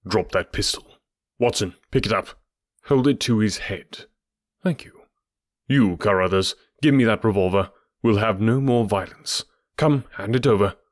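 A man's voice: fairly low pitch, normal speed, no echo, clean studio sound, lightly acted. A man voicing a cool, commanding male detective in an audiobook, clipped and authoritative. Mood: cold command.

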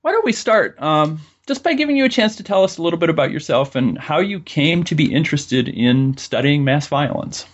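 Male podcast host, lively pitch, breathy and slightly muffled. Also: a little fast, few pauses. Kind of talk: scripted introduction and interview.

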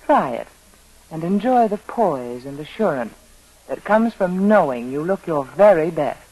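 A woman's voice: low voice, expressive, a little slow, a little mellow, low-quality recording. A woman spokesperson delivering a scripted 1940s radio advertisement on an old broadcast recording. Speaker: commercial spokeswoman.

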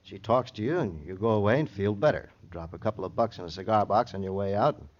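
A man's voice: fairly low pitch, expressive, fast. An American actor playing an evasive, streetwise male witness in a vintage radio crime drama, brash, slangy and rambling. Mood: defensive, rambling. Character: evasive, cocky, fast-talking wounded male witness, streetwise. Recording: muffled old radio recording.

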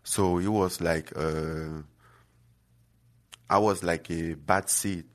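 Deep-voiced man from Cameroon, earnest. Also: fairly even intonation. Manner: halting, many fillers and restarts, earnest. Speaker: guest fighter. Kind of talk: casual interview chat.

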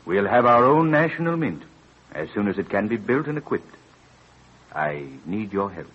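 Casting: a dignified, earnest head of state, low husky voice, formal persuasive delivery, muffled vintage radio sound. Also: male voice, big pitch swings, moderate pace. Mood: businesslike. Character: dignified, earnest American president urging a reluctant man. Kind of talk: 1930s-40s radio drama acting. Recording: dull old radio broadcast recording.

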